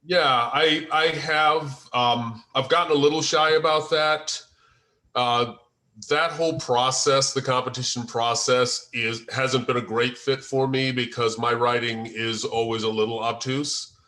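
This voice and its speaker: A man's voice: medium pitch, steady tone, measured pace, sharp tone. An American man who speaks hesitantly in an informal video-call discussion, often searching for his words as he talks about his work as a writer. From United States. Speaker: screenwriter and first-time filmmaker. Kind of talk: informal discussion after a table read. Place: online group video call.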